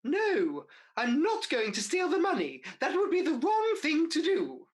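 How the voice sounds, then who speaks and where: A woman's voice: sits high, huge pitch swings, measured pace, exaggerated voice. A woman playing a cheeky, scatterbrained Mother Goose in a radio pantomime, exclamatory and comic. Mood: bemused, earnest. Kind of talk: pantomime radio play acting.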